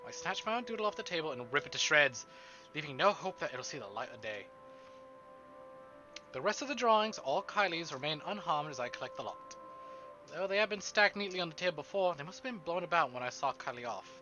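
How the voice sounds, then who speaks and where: A man streaming a visual novel, moving between casual chat and expressive, theatrical story reading. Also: a little high. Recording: dull band-limited stream, music behind.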